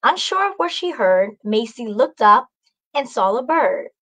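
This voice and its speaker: A woman's voice: on the high side, very animated, measured pace, a bit bright. A young adult woman, a children's author, reading a storybook aloud and explaining it simply to young listeners. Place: small indoor room.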